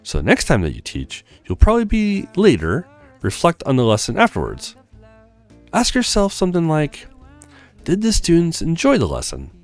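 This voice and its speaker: A studious, helpful advisor from a visual novel, read out by a man. The delivery is very animated in pitch, with faint music behind it. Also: moderate pace. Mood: instructive. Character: helpful, studious advisor, easily flustered, giving teaching pointers.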